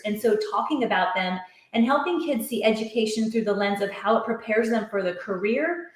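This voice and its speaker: A woman's voice: mid-range voice, roomy sound. A middle-aged woman, an author and education coach, talks earnestly in long flowing sentences during a podcast interview.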